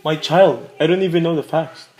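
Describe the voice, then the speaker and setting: A man's voice: a little high, normal speed, a little mellow, natural voice. An actor as a lighter-voiced, courteous older father. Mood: puzzled, paternal. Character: kindly, courteous, wistful elderly father. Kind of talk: radio play acting.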